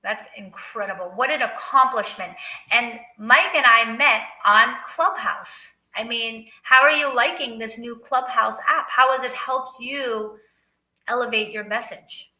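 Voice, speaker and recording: A woman's voice: a little high, measured pace, sharp tone. A woman hosting a live interview in an effusive, encouraging manner. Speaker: interview show host. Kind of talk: live interview, reading viewer comments. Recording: roomy, echoing sound.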